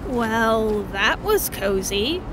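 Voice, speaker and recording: A woman's voice: fairly high pitch, expressive, very slow, noisy background. A woman playing a wry, businesslike news camera operator in an audio drama. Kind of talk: naturalistic audio drama acting.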